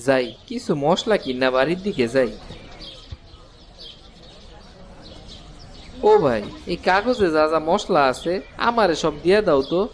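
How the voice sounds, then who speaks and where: A man's voice: fairly high pitch, animated, moderate pace, slight background noise, lightly acted. A man voicing a kindly woodcutter shopkeeper. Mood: friendly. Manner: earnest, folksy and plain-spoken. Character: humble kind-hearted male woodcutter shopkeeper. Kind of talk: cartoon dubbing. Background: background music.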